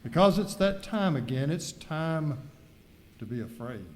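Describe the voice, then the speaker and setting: A man's voice: fairly high pitch, expressive, unhurried. A man playing a theatrical, mock-ominous narrator in an amateur live radio skit, recorded in an echoey, noisy hall. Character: ominous campy narrator of a spooky western spoof.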